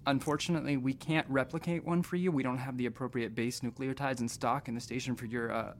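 A man's voice: mid-range voice, fairly even intonation, fast talker, a little hiss or hum, close to an everyday voice. A man playing a weary, intense surgeon in a sci-fi audio drama, with a dry, hesitant, terse delivery. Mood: awkward, apologetic. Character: weary, intense, awkward male surgeon in space. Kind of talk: audio fiction acting.

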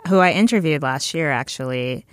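A woman hosting a podcast, chatting in a relaxed, conversational way. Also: low voice, fairly quick, no room sound, studio quality. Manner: relaxed and conversational, with soft laughs. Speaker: podcast host. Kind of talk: casual chat.

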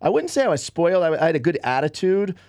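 A man's voice: a little high, big pitch swings, fast talker, darkish tone, dry recording, clean studio sound. A man in his fifties, a guest on a podcast, casually telling stories about his past. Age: 50s. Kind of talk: casual storytelling.

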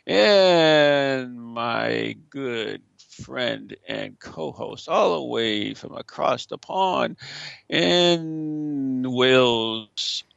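A man's voice: medium pitch, creaky, a bit bright, no room sound, dull audio. A man hosting a radio show, chatting playfully and rambling with fillers. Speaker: host. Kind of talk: jokey radio show chat.

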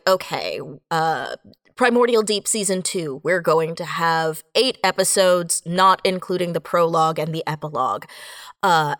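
A woman's voice: on the low side, a bit bright, dry recording, clean studio sound. A woman writer chatting on a podcast panel, explaining things in a thoughtful way. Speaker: podcast guest, audio drama writer. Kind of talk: casual panel chat.

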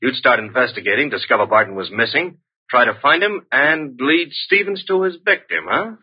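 Wisecracking, husky radio detective's sidekick. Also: male voice, mid-range voice, animated, moderate pace. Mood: brisk, wry. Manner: breezy, slangy, wry. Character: wisecracking, street-smart male detective's assistant. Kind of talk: 1940s-50s radio mystery acting. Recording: dull vintage radio recording.

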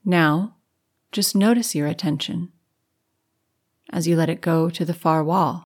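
A woman narrating a guided meditation as host, calm and measured, giving gentle step-by-step instruction. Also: on the low side. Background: music.

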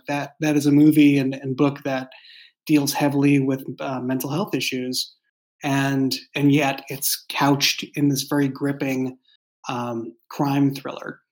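A man speaking as a writer in a reflective interview, explaining his thoughts in a halting, thoughtful way. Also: mid-range voice, darkish tone. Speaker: writer and show creator being interviewed.

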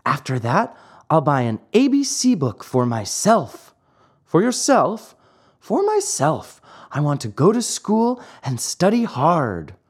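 A man voicing a naive wooden puppet boy who talks in an excited, pleading way. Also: takes it slowly, breathy edge, full character voice. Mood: eager. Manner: excited, pleading, high-pitched.

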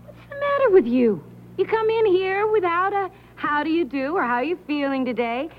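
A woman acting a sharp-tongued, easily offended woman with a snippy, challenging manner in an old-time radio drama. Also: extremely high pitch, very animated, normal speed. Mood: indignant. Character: prickly, indignant, sharp-tongued young woman. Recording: muffled, noisy vintage radio recording.

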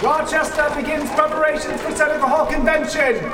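High, echoing period news crier. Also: male voice, steady tone, a little fast. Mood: proclaiming. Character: excited 19th-century crier announcing a political rally. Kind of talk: theatrical announcing. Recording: distant echoey hall, loud music.

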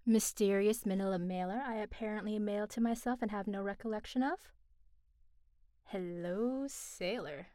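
A woman's voice: medium pitch, normal speed, dark tone, a little hiss or hum, lightly acted. Woman playing a wry young journalist. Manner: sardonic, talking to herself.

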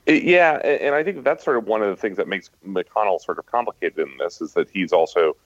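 A man speaking as a journalist guest, analysing politics conversationally with halting, stuttered restarts and many fillers. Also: on the low side, animated, no room sound, highs cut off. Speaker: journalist and author, podcast guest.

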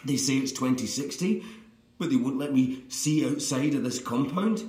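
An actor playing a distraught, suspicious astronaut in an audio drama, with an agitated, halting delivery. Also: male voice, medium pitch, darkish tone, close to an everyday voice. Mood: panicked, angry. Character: distraught, suspicious astronaut returned after decades away. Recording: roomy echo, music underneath.

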